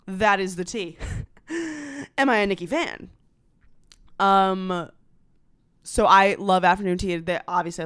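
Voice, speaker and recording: Clear-voiced American comedian telling gossip. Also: female voice, medium pitch, dry recording, studio quality. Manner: animated storytelling, slangy, sarcastic, dramatic emphasis. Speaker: comedian, podcast host. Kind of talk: casual comedic podcast monologue.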